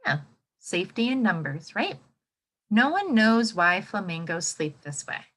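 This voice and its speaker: A woman teacher reading a nonfiction book aloud to children, expressively, thinking out loud and putting questions to her listeners. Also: mid-range voice, sing-song, measured pace. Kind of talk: interactive children's nonfiction read-aloud lesson.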